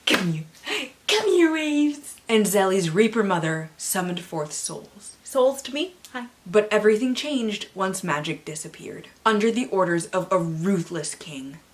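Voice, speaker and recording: A woman hosting a book vlog, chatty and playful, with theatrical swings and joking asides to herself. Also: lowish voice. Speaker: book vlogger and channel host. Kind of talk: casual chatty book haul vlog with hammed-up dramatic blurb readings.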